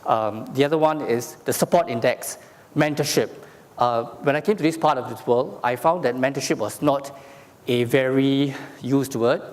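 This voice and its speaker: A man's voice: medium pitch, big-room sound. A man from Singapore giving a conference talk, explaining with frequent fillers and asking "all right?" after his points. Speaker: conference speaker. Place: conference stage in a large hall.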